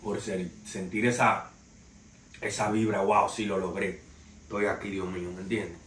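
Young Dominican man, Dominican Spanish accent, podcast host, slangy casual storytelling, lowish voice, distant camera sound, roomy echo. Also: little pitch movement, moderate pace, a bit bright. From Dominican Republic. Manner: loose, street-slang storytelling, addresses "señores". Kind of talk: casual entertainment news commentary. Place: small living room, indoors, seated on a sofa. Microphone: far camera, no mic seen. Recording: roomy echo, distant mic, faint noise.

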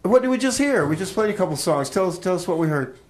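A man's voice: high voice, expressive, quick pace. A man hosting a casual live radio interview, jokey and loose, often repeating himself. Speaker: radio show host.

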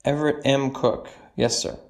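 Gravelly actor as male bank cashier witness. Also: mid-range voice, steady tone, moderate pace, distant mic, natural voice. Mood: reserved, factual. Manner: brief, formal answers. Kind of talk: dramatic reading of trial transcript.

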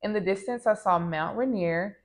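A woman of thirty from the American South, chatting to camera about a trip in a relaxed vlog, recorded at home on a lapel mic. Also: medium pitch, moderate pace. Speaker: vlogger. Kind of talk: casual travel vlog. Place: small home room with plants.

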